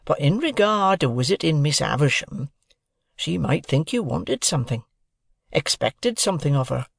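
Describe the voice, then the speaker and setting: A man voicing a slow, kindly rustic blacksmith who speaks deliberately in country dialect. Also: on the high side, big pitch swings, gravelly, dry recording, studio quality, heavily acted.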